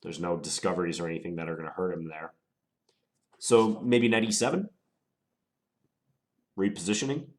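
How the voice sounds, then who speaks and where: A man with a stuffed-up voice from a cold, thinking aloud through his chess moves in a deliberate, musing way. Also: deep voice. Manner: deliberate, musing, unhurried analysis. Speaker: chess player commentating his own game. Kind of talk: thinking aloud while playing.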